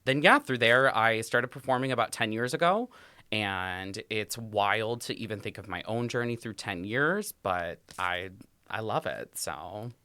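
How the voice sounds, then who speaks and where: A chatty, expressive man around thirty, his voice bright and smooth with a slightly low pitch. He talks freely as a studio guest, recorded dry and close. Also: dry recording. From Toledo, Ohio, USA. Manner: chatty and expressive, quick to laugh. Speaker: podcast guest, drag performer and hair salon manager. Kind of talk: casual interview chat.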